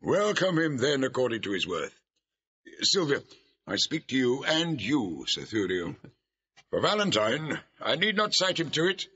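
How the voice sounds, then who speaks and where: A man's voice: mid-range voice, very animated, unhurried, sharp tone, breathy edge, lo-fi sound, heavily acted. An actor playing a dignified, hearty lord and father in classical Shakespearean style, declaiming with authority. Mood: stately. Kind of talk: classical Shakespearean audio drama acting.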